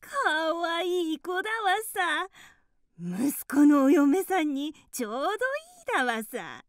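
A woman's voice: high voice, sing-song, unhurried, no room sound, clean studio sound. An actress playing an ugly, scheming mother toad in a radio drama, bossy, with quirky repeated sentence endings. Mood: scheming, pleased.

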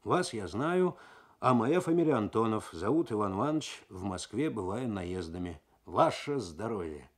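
Man reading as a quiet, sly swindler. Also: fairly low pitch, lively intonation, normal speed. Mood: smooth, confiding. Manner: quiet, smooth, insinuating. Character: tall, sly, cool-headed male swindler.

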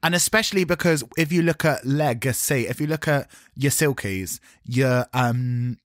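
A man's voice: mid-range voice, moderate pace, no echo, studio quality. A man co-hosting a podcast, chatting casually and sarcastically with frequent swearing. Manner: animated, sarcastic, swears freely. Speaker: podcast co-host. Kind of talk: casual chat reviewing a TV show.

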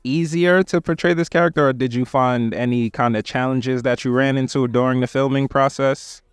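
A man hosts a red-carpet interview, speaking into a handheld mic. His voice is clear and smooth, the sound dry and close. He asks his questions in a friendly, upbeat way. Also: medium pitch, fairly even intonation, normal speed, dry recording. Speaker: entertainment interviewer. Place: busy premiere red carpet, indoors.

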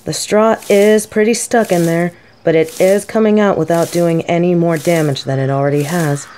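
Woman playing a mellow, low-voiced gentle scholarly professor. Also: fairly even intonation, normal speed, slight background noise, lightly acted. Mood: curious, mild. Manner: soft-spoken, thoughtful, formal wording. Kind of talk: audio roleplay monologue.

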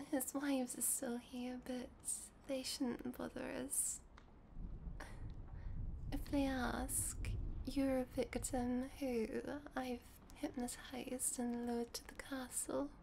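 A woman playing a shy, affectionate young vampire in a soft, hesitant, intimate ASMR roleplay, often dropping to a whisper. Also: high voice, gravelly, a little hiss or hum, heavily acted. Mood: welcoming, shy. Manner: gentle, hesitant, rambling, often drops to a whisper.

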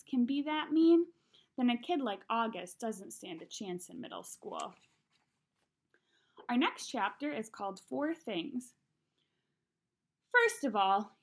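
A young woman reads a children's novel aloud in an expressive, conversational way, acting out the dialogue. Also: fairly high pitch. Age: young adult. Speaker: read-aloud narrator. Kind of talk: expressive audiobook-style reading of a children's novel. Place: small room at home.

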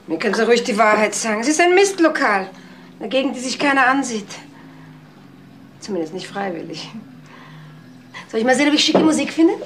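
A woman's voice: fairly high pitch, very animated, fairly quick, pauses often. An actress playing a bitter, blunt woman who complains about working at a shabby country inn, in a crime radio play. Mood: bitter, grumbling. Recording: echoey, distant, noisy with clattering dishes.

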